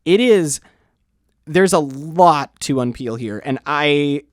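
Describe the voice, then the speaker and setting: A man's voice: a little high, lively intonation, takes it slowly, no room sound. Dry-sounding, rambling casual man. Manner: rambling, restarts often, swears casually. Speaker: co-host of a music review show. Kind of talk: casual music review chat. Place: college radio studio.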